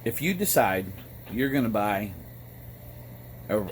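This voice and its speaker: An American man with a fairly low voice talks off the cuff in a loose, rambling vlog style. His delivery is halting, with pauses between short phrases. There is noticeable noise in the recording. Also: big pitch swings. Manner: halting, frequent throat clearing between phrases. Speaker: amateur radio vlogger. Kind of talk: casual rambling monologue.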